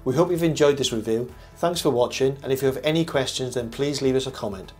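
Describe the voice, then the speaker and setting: An older man reading a scripted review voice-over as host, in a measured, formal presenter manner. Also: mid-range voice, darkish tone. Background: background music.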